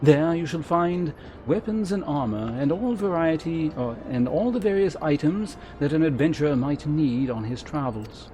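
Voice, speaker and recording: A man voicing a courteous, formal innkeeper who mentors adventurers in a fantasy game, speaking in measured, archaic phrases. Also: fairly high pitch, expressive, dark tone, slight background noise, pitched above the performer's own voice, close to an everyday voice. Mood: instructive, formal. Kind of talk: read-aloud game character dialogue.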